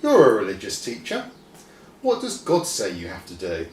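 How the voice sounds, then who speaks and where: A man voicing a calm, kindly teacher in a children's Bible story. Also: fairly low pitch, normal speed. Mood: calm, affirming. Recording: roomy, distant mic, faint noise.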